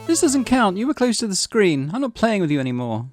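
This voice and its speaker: A man's voice: sits high, big pitch swings, a little fast, over music, pitched above the performer's own voice. A man voicing a small, pleading little sister in a visual novel game. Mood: petulant.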